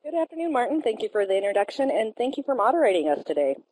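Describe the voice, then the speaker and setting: A woman speaking as a panelist, a business analyst and project manager who explains her points in a measured way with occasional fillers. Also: fairly high pitch, sing-song, a little mellow, slight background noise, low-quality recording. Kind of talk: professional panel discussion answer.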